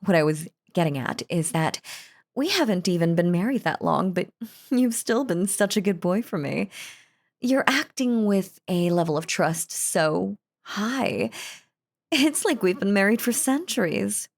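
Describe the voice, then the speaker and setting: Woman acting a creaky-voiced, lovestruck yandere wife. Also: mid-range voice, animated, measured pace. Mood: doting, approving. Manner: doting, gushing, cheerfully unhinged. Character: lovestruck possessive yandere wife, sweetly menacing crazy stalker. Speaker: voice actor. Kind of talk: intimate audio roleplay monologue.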